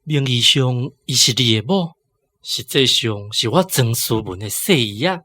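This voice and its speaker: Radio drama role: calculating rich Taiwanese gentleman, mid-pitched, smooth talker of persuasion, prominent music underneath. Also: lively intonation, moderate pace. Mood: persuasive, scheming. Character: wealthy scheming married gentleman wooing an opera actress.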